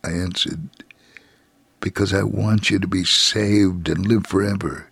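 A man reading a scripted story for radio as narrator, solemn and measured, with a gravelly, husky voice. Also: deep voice, steady tone, a bit bright, no room sound.

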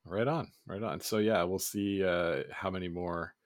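Low, slightly scratchy man chatting. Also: fairly even intonation, unhurried, dry recording, studio quality. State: slightly scratchy voice from yelling while curling. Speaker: podcast co-host. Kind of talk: casual chat.

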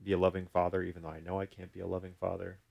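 Deep, fast-talking man with gravelly creak. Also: monotone, muffled recording. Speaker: podcast host, therapist. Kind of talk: casual explanatory discussion.

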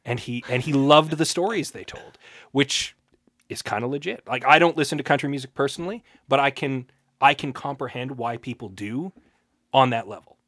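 A man co-hosting a podcast, telling things casually in a halting, self-aware way. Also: medium pitch, fairly bright tone, dry recording, studio quality. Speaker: podcast co-host.

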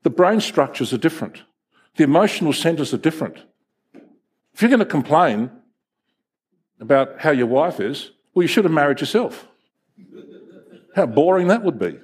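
A middle-aged male pastor whose first language is Greek delivers a lively sermon. His voice sits a little high and leaps around expressively, carrying a husky edge, and he slips into quick acted voices while telling stories. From Greek heritage, first language Greek. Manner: animated, storytelling with acted voices.

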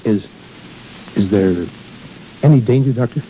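An actor playing an anxious, devoted young soldier husband in a 1930s radio drama, speaking with earnest urgency. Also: male voice, fairly low pitch, unhurried, a little hiss or hum, natural voice. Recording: muffled 1930s radio recording with music.

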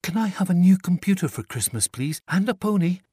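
A small, matter-of-fact girl chatters in a high, lilting voice, as a male storyteller puts her on. The pitch jumps about as she talks. The sound is close and dry. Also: expressive, slightly rough, no room sound. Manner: high, bright, quick child's voice.

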